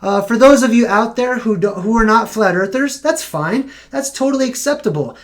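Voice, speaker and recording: A man's voice: very high voice, expressive, moderate pace. A young man hosting a casual live stream, losing his voice, rambling and correcting himself as he talks. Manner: rambling, self-correcting, conversational.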